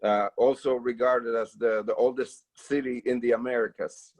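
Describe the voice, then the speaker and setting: A man from the Dominican Republic with a Dominican Spanish accent, answering casually as an interview guest, haltingly, with many fillers and repeated words. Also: mid-range voice, steady tone, darkish tone, low-quality recording. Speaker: interview guest, percussion educator.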